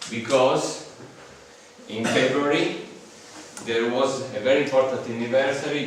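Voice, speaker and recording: A man's voice: medium pitch, far from the mic, slight background noise. Italian man lecturing in an echoey hall. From Italy. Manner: halting, many fillers and restarts. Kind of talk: guest seminar lecture in English.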